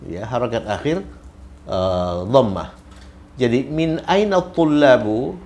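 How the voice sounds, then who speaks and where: Male teacher, slow deliberate delivery, repeats examples patiently, mid-range voice. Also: medium pitch, expressive, darkish tone, slight background noise. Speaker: Arabic teacher. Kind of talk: recorded grammar lesson.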